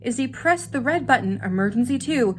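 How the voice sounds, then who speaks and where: A woman reading a children's story aloud in an expressive, dramatic manner, with simple asides for young listeners. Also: high-pitched, fairly quick, slight background noise. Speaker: storybook reader.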